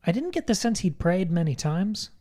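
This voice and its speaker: Mellow, reflective man discussing books. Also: high-pitched, very animated, moderate pace. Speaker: podcast co-host. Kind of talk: conversational book discussion.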